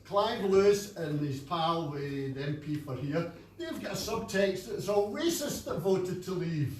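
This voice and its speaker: Scottish man from the audience, chatty anecdotal rambler, fairly high voice, roomy distant sound. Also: animated, measured pace. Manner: chatty, anecdotal, laughs at himself. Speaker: audience member. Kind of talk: rambling floor comment. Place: meeting room at a conference fringe event. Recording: echoey room, distant, faint noise.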